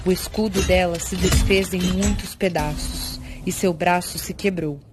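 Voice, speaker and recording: A woman's voice: lowish voice, steady tone, a little slow, background noise. A woman with a Brazilian accent reading scripted, dramatic narration for a fantasy audio drama. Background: prominent music.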